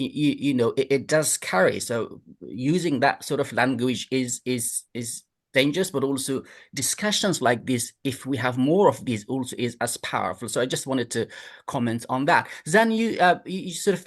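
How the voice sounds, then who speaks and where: A man from Afghanistan speaking in a group discussion, halting and repeating words. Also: mid-range voice. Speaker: discussion participant.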